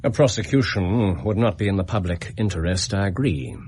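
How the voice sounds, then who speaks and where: An actor in a British radio drama playing a shrewd, commanding Victorian detective, precise and dryly ironic, with a Received Pronunciation English accent. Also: male voice, deep voice, lively intonation, a little fast, darkish tone. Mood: firm, commanding.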